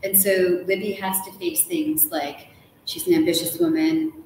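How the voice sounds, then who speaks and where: Animated woman author in an echoey room. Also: on the low side, moderate pace. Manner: animated, informal, peppered with "like". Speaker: author being interviewed. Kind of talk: casual interview conversation. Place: large ornate hotel room, indoors. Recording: echoey room with faint background noise.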